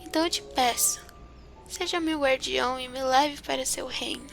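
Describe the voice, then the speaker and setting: A dreamy little girl no one believes, played by a woman in a radio play. Her voice is high and soft, and she pleads in a near whisper, with faint music behind her. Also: steady tone, moderate pace, vocal fry. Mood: hushed pleading. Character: young girl, dreamy and unbelieved. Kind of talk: audio drama acting.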